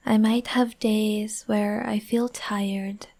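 Woman, slow breathy whisper, gentle comforting partner roleplay. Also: medium pitch, level tone. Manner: soft soothing whisper.

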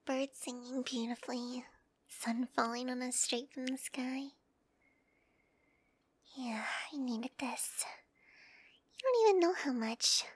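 Soft-whispering young woman, high voice. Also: slow pace, pauses often, husky. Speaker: roleplay performer. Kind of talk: whispered audio roleplay to the listener.

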